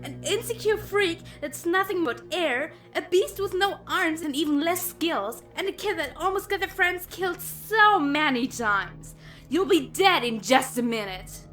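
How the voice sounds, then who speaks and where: A woman's voice: very high voice, lively intonation, a little slow, fairly bright tone, heavily acted. A woman playing a cruel, mocking female villain in an audio drama, with theatrical menace and music behind her. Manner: taunting, theatrical.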